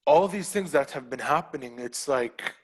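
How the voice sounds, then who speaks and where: A man's voice: medium pitch, expressive, moderate pace. A man hosts a casual podcast and talks with passion. He presses his points hard and repeats phrases for emphasis. His voice sits in an ordinary middle register. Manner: impassioned, emphatic, repeats himself for stress. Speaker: podcast host.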